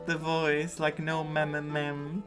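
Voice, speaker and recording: A game streamer talks slowly and casually over a story playthrough. The commentary is reflective and emotive, with some dialogue read aloud. Music plays softly behind. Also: medium pitch, completely flat delivery.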